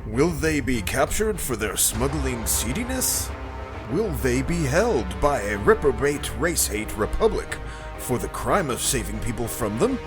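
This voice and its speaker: A man's voice: mid-range voice, very animated, a little slow, music in the background. A man narrating an audio drama serial as a theatrical, scripted announcer. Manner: melodramatic announcer delivery.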